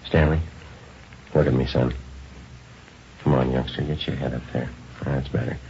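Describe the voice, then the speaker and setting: An actor playing a calm, businesslike police sergeant in an old radio drama, clipped and matter-of-fact. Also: male voice, a bass voice, normal speed, a little hiss or hum. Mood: calm, gently firm. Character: calm, businesslike police sergeant who narrates his cases. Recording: dull old radio recording, music.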